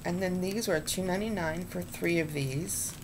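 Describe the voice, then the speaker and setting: A woman's voice: on the low side, normal speed, noisy background. A woman in her thirties chatting casually in a home vlog, rambling with ums and restarts as she talks at her webcam. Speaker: vlogger showing purchases. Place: small room indoors. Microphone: webcam at desk.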